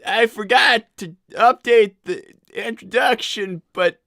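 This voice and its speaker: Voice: medium pitch, takes it slowly, gravelly, very noisy. A performer voicing a flustered young boy fox cartoon character, stammering and repeating words while hosting a game livestream. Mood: flustered, puzzled. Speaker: streamer hosting a live show.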